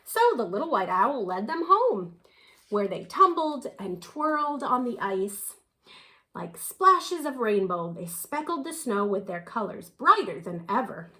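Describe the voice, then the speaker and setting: Female children's story reader: higher-pitched, unhurried, very expressive delivery. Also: poor audio. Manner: animated, unhurried, dramatic emphasis. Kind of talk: expressive picture-book read-aloud.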